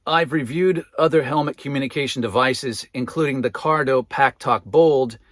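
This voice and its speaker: A man presenting a product review as scripted narration, with measured, clearly phrased delivery. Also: medium pitch, no room sound, clean studio sound. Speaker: product reviewer and channel host.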